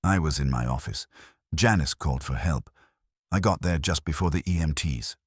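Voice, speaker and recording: A man's voice: a bass voice, moderate pace, breathy edge, no room sound, clean studio sound. A man voicing a cautious, polished executive in his forties in a detective story, speaking in a guarded, managerial way. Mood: careful, guarded. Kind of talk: audiobook detective mystery dialogue.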